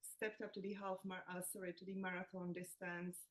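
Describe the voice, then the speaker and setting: A woman's voice: on the low side, level tone, brisk. Chatty woman from the Czech Republic. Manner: chatty, self-deprecating, laughs often. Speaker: nutritionist guest. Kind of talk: casual interview chat.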